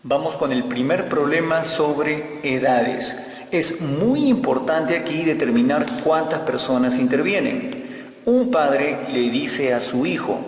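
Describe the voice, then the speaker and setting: A man teaching an algebra lesson step by step, repeating key phrases as he works through the problems. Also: mid-range voice. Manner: slow, repeats key phrases while explaining. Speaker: math teacher. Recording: echoey room with long reverb.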